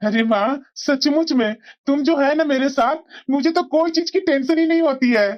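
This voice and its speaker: A performer voicing a devoted adult son and stern husband in a family cartoon, with plain, drawn-out delivery. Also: sits high, lo-fi sound, natural voice. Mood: affectionate, casual. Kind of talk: Hindi family moral-story cartoon voice acting.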